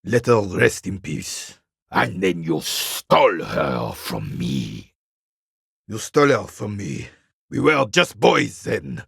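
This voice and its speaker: An actor playing a bitter, proud old war veteran in a video game, speaking with a gravelly rasp and curt, scornful disdain. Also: male voice, on the low side, a bit bright, no room sound, clean studio sound, full character voice. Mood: bitter, wounded. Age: older. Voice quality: gravelly, raspy. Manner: curt, scornful, dismissive, old-soldier pomp. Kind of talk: video game character dialogue.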